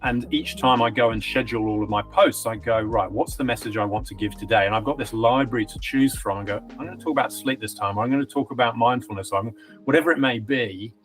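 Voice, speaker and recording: A man with a British accent softened by years in New Zealand, giving a client testimonial in a reflective, hesitant way, with frequent ums and restarts. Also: on the low side. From Britain. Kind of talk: conversational testimonial interview.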